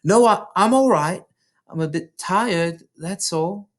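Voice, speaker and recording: A man voicing a determined, excitable young schoolgirl in a storybook, speaking with earnest emphasis. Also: sits high, takes it slowly, pitched above the performer's own voice. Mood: tired, startled.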